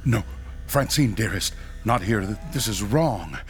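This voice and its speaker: An actor playing a pious, guilt-ridden ex-priest ghost hunter, earnest and formal, in an audio horror drama. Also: male voice, fairly low pitch, lively intonation, a little slow. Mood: guilty, earnest.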